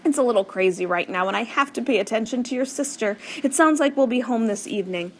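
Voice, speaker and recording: Woman reading a rushed loving mom on the phone, breathless, a bit high and sweet. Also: expressive, slight background noise, lightly acted. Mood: tender. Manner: breathless, tender. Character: busy, loving mother, out of breath.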